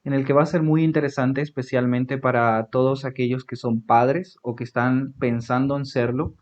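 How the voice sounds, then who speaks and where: A man's voice: mid-range voice, darkish tone. A man with a Paraguayan accent hosting a casual podcast interview, talking with many fillers and tag questions. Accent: Paraguayan Spanish. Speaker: podcast host. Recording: dull lo-fi audio.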